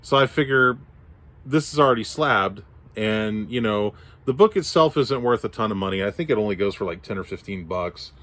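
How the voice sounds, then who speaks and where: Deep voiced texas guy showing off his comics, kinda scratchy throat, lots of ums and restarts. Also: animated, normal speed, a bit bright. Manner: rambling, many fillers and restarts. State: hoarse, scratchy throat from allergies. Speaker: comic haul vlogger. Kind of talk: casual show-and-tell chat.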